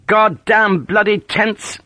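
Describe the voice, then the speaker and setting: A man's voice: medium pitch, expressive, fairly quick, creaky, bright, forceful tone, no echo, heavily acted. A male narrator voicing a hot-tempered army officer who spits out curses. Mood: irritated. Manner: cursing, spitting words out.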